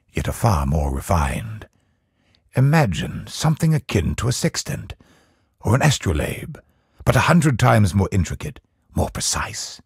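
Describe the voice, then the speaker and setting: An actor playing a brooding, tormented scholar of godlike power in a video game, speaking gravely and theatrically in measured phrases. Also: male voice, low voice, a little mellow, dry recording, studio quality, heavily acted. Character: brooding male scholar turned godlike, tormented cosmic villain. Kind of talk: dramatic scripted video game monologue.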